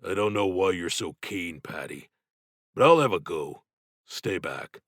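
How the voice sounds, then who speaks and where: A man's voice: deep voice, expressive, unhurried, fairly bright tone, no echo, clean studio sound, exaggerated voice. A man reading an audiobook, voicing a wary, stolid city labourer in a guarded, plain-spoken manner. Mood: wary, willing. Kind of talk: audiobook character dialogue.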